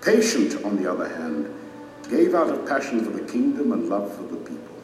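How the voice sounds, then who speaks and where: Casting: stern royal judge, low expressive voice, solemn and commanding, audio-drama fantasy, vast hall reverb. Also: male voice. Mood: solemn, measured. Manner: solemn, measured, authoritative. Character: majestic stern male king judging his subjects. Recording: cavernous reverberant hall, distant, music underneath.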